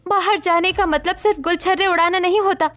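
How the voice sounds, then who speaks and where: A woman's voice: very high voice, fairly even intonation, fairly quick, a bit bright, voice pushed up. A woman voicing an aggrieved young adult daughter in a cartoon story, pleading and indignant.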